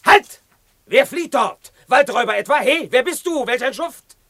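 An actor playing an arrogant, boastful young villain prince in a classical radio play, pompous and blustering. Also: male voice, very high voice, expressive, normal speed, bright, forceful tone, exaggerated voice. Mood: furious, shouting.

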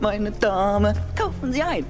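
A woman's voice: medium pitch, animated, slow pace, music in the background. An actress playing a grumbling, sardonic female crook in a German radio drama. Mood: mock sales patter.

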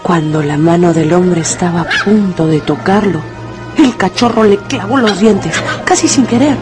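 A woman's voice: fairly low pitch, normal speed. A woman narrating a dramatised story for radio from a script. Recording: music under the voice.

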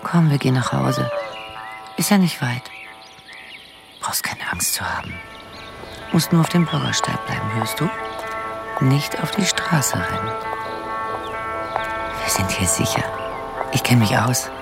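A wary, watchful woman murmurs intimately in a radio crime drama. Her voice is creaky and hushed, with music underneath. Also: extremely low pitch, expressive, unhurried, pauses often, exaggerated voice. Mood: hushed, coaxing.

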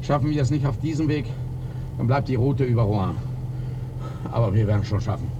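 A man's voice: mid-range voice, fairly quick, lo-fi sound, close to an everyday voice. An actor playing a stubborn, tense man fleeing war by car, urgent and insistent in 1950s German radio drama style. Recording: loud car noise and commotion behind. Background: loud car and outdoor traffic sound effects.

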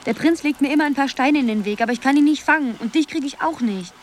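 A woman's voice: sits high, fast talker, slight background noise, natural voice. An actress playing a dreamy young woman absorbed in a computer game, musing half to herself in a radio drama. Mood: frustrated.

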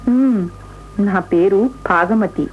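An actress playing a coy, witty, proud young court dancer in a radio play, with playful, teasing theatrical delivery. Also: female voice, medium pitch, slow pace, slight background noise, natural voice. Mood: playful, mocking. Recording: muffled, phone-like old radio recording.

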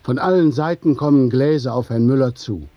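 A man's voice: mid-range voice, fairly quick, no echo, lo-fi sound. A man narrating a scripted radio play in vivid literary prose. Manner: literary storytelling in vivid present tense.

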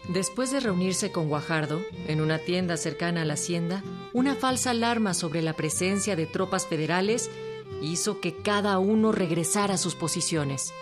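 A woman's voice: lowish voice, moderate pace. A woman reading scripted narration for a historical radio drama. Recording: prominent string music bed.

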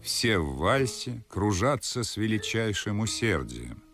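A man narrating stage directions for a radio play in a measured, scripted way, setting the scene. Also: lowish voice, big pitch swings. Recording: loud music behind the voice. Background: music.

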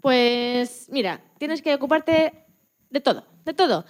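Woman playing a high-voiced, chatty Spanish scientist. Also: slow. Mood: earnest. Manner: expressive, chatty, self-correcting. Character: chatty, proud, enthusiastic woman Antarctic scientist. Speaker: interview guest.

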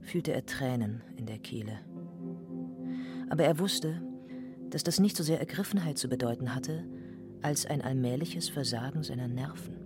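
A man's voice: mid-range voice, moderate pace, music in the background. A man narrating a literary radio play in a scripted storytelling style.

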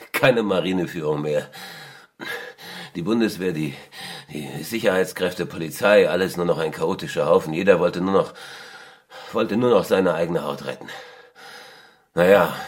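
A man acting in a German radio drama as a captive navy lieutenant, halting and agitated, shaken yet defiant. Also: low voice. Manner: halting, agitated, repeats himself.

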